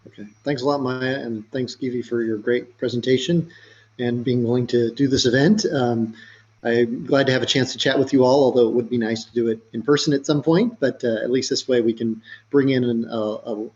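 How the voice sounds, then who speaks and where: A man in his forties who works as a government recruiter introduces himself on a video call in a friendly, measured way. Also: medium pitch, steady tone, a little mellow, lo-fi sound. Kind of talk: presentation introduction.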